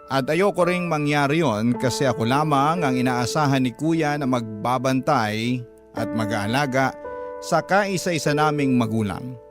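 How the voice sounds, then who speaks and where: A man playing an earnest husband, telling his heartfelt story in measured, sentimental first-person drama narration. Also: medium pitch, close to an everyday voice.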